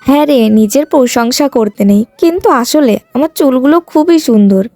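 A woman's voice: high voice, animated, fairly quick, dark tone, no echo. A woman voicing a vain, haughty, petulant young village woman in a cartoon moral tale. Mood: smug, scornful. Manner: boastful, petulant, complaining.

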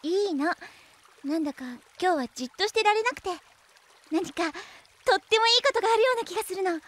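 High, bright, eager little girl. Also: lively intonation, fast. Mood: eager, excited. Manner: bubbly, polite to her parents. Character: cheerful eager little girl, dutiful daughter. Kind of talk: Japanese fantasy audio drama acting.

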